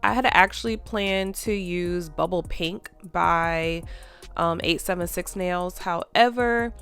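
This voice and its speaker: Woman nail vlogger, stuffed-up, chatty, smooth low voice, soft music behind. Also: slow. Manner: chatty, lots of fillers. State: congested, stuffy nose. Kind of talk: casual chat to viewers.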